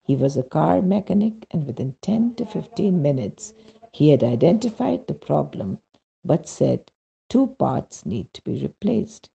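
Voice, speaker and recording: Husky, dark-voiced man reading aloud. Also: a little high, very animated, measured pace, highs cut off. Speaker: reader of a devotional testimonial. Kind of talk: scripted narration, read-aloud spiritual testimonial.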